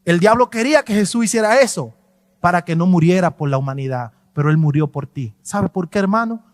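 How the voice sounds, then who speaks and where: A male pastor delivers a fervent sermon with an unusually high voice and hammering repetitions. The mic sits close and dry, yet a long reverb trails through the church hall. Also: animated, fairly quick. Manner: fervent and emphatic, repeats "no, no, no". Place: church sanctuary.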